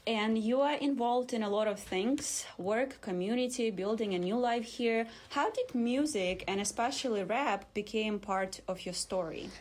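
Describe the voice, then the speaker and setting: A woman hosting a radio interview, formal and measured. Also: on the high side, darkish tone. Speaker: host, interviewer.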